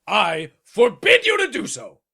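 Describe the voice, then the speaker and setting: A man voicing a proud, pompous king in a storybook tale, raspy and commanding, drawing out his orders. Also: extremely high pitch, wildly swooping pitch, sharp tone, pitched above the performer's own voice, exaggerated voice. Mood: stern, offended. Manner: pompous, commanding, drawn-out imperious delivery.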